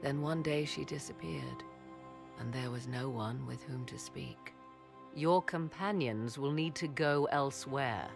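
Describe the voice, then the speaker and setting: A woman's voice: very deep voice, gravelly, over music, heavily acted. A woman playing a proud, learned witch in a video game, speaking in formal, archaic, mysterious phrases. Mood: composed. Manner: archaic, measured, theatrical phrasing. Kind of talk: video game cutscene acting.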